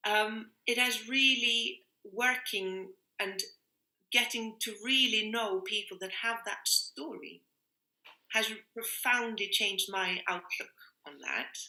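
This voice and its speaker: A woman talks in a very bright, forward voice with a noticeable room echo. She is earnest and reflective, feeling for the right phrase and restating it for emphasis. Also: on the high side, slow. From Iceland. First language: Icelandic. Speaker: interviewed music workshop leader. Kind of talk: reflective conversational interview. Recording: roomy, echoing sound.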